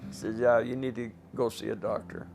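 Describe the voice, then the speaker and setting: An older man with a raspy voice, a former coal miner speaking plainly and indignantly in a documentary interview. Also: medium pitch, flat delivery, fairly quick, darkish tone, faint music behind. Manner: plain-spoken, indignant, rhetorical questions.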